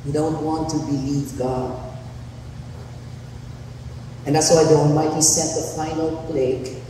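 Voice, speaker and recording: A man preaching a sermon as pastor, with a husky, hoarse voice, deliberately laying out numbered points and sometimes restarting a phrase. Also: fairly high pitch, little pitch movement, mellow. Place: large church hall. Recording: echoey church hall from far off, faint noise.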